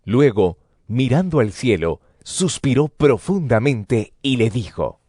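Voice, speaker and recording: A man's voice: mid-range voice, sing-song, slow, a little mellow, breathy edge, no room sound, studio quality. A man narrating scripture from a script for an audio drama.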